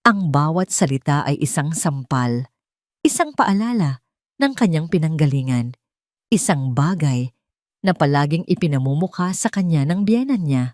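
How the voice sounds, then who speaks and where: A woman's voice: low voice, expressive, normal speed, dry recording, studio quality. A woman narrator reading a scripted dramatic love story.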